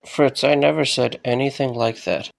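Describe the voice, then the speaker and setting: A man's voice: medium pitch, steady tone, moderate pace, creaky, natural voice. A man acting in an audio drama as a weary, dryly joking young man who takes his responsibilities stubbornly. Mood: puzzled, tired. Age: young adult.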